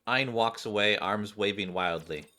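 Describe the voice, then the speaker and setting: A man's voice: lowish voice, unhurried, pushed and bright. A man, a gaming streamer, reading a video game's story aloud. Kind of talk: reading game dialogue and narration aloud.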